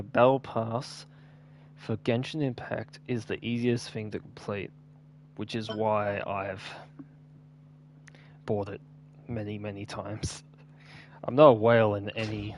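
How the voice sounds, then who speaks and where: A man's voice: lowish voice, slight background noise. A man giving casual, unscripted gameplay commentary in an offhand, rambling way. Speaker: gaming video host.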